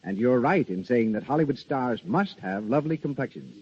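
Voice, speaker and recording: A man's voice: medium pitch, animated, fairly quick, breathy edge. A man working as a radio announcer and interviewer, in scripted 1930s advertising style. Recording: dull, reverberant 1930s radio recording.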